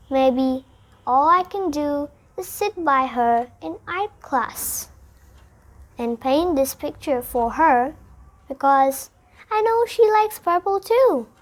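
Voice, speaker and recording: A high, childlike voice reads a picture book aloud. The child goes slowly and deliberately through the story. Sentences come in short, separate phrases. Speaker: storybook reader.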